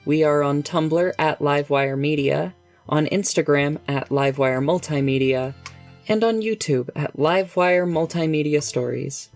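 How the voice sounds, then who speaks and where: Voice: mid-range voice, normal speed, darkish tone. An announcer reading scripted closing credits for an audio drama podcast. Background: music with guitar.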